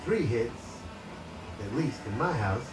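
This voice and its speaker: A man's voice: medium pitch, animated, takes it slowly. Radio DJ man on old tape. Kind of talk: radio DJ patter. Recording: radio broadcast played off tape, roomy and distant. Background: music.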